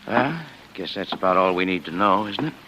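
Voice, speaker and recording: Bright, forceful radio police lieutenant. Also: male voice, low-pitched, animated, a little fast. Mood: knowing. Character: brisk, sly, confident male police lieutenant. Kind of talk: 1940s radio drama acting. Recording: dull, slightly noisy vintage radio recording.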